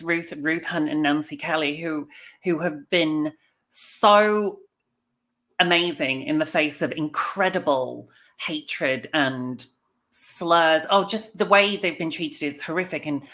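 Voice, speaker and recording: A woman's voice: sits low, unhurried, a bit bright. An author from England speaking as a guest over a video call, fervent and emphatic about her cause. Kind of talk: impassioned commentary.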